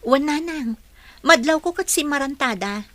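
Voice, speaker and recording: Earnest young office coordinator. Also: female voice, high-pitched, expressive, moderate pace, a bit bright. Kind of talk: radio drama acting.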